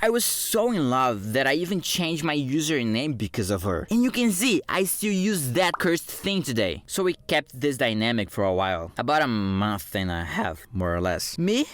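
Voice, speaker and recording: A young Brazilian man whose first language is Portuguese, telling a funny story about himself with a Brazilian accent, self-mocking and animated, dropping to mutters and jumping to outbursts. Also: mid-range voice, normal speed, few pauses, no echo, shouting. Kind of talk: comedic animated storytime narration.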